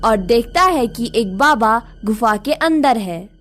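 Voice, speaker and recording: A woman's voice: on the high side, animated, takes it slowly, over music. A woman narrating a village cartoon story in a scripted storytelling manner.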